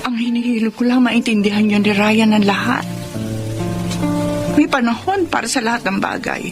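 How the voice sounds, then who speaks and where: A woman's voice: fairly high pitch, moderate pace, lightly acted. A woman playing a self-sacrificing middle-aged career mother in a Filipino radio drama. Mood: earnest, pleading. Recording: music bed behind the voice.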